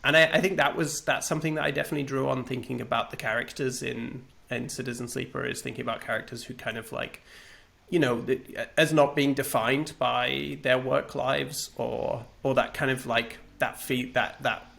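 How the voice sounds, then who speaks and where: A man's voice: mid-range voice, steady tone, normal speed, gravelly, fairly bright tone. A man, a game developer on a panel, talking in a thoughtful, hesitant way with many fillers and restarts. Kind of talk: casual panel conversation.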